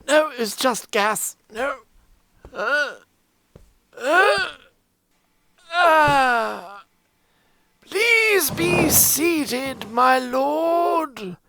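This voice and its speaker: An aged, doddering bishop for a fantasy audio drama. The voice is high and creaky, quavering through fussy courtesies. Every phrase comes extremely slowly, with halting groans. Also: female voice, bright, forceful tone, full character voice. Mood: doddering, courteous. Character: doddering elderly bishop, fussy, polite and slow.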